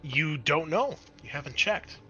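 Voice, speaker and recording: Bright-voiced man running a tabletop game. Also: fairly high pitch, animated, unhurried, husky, highs cut off, soft background music. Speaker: game master. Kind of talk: narrating a tabletop roleplaying game.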